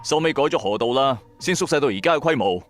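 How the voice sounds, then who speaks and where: Man acting an animated, hard-pressed, streetwise narrator. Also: medium pitch, fast, natural voice. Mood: irritated, skeptical. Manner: colloquial, emphatic storytelling. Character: bankrupt male electronics trader, hard-pressed, hot-tempered, streetwise. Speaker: first-person narrator and lead. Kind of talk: dramatic scripted narration in a radio drama. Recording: background music under voice. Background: music.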